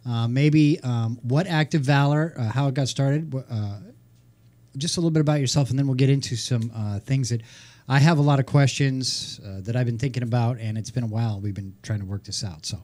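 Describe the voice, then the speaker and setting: A man's voice: medium pitch, a little fast, darkish tone. A man hosting a casual radio interview, chatty and rambling, often stammering over repeated words. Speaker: radio host.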